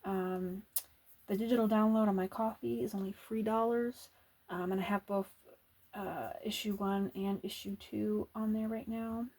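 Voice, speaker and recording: A soft-spoken woman doing casual ASMR chat as an artist at work, talking in an unhurried, musing way. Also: medium pitch, fairly even intonation. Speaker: illustrator and comic artist narrating a drawing video.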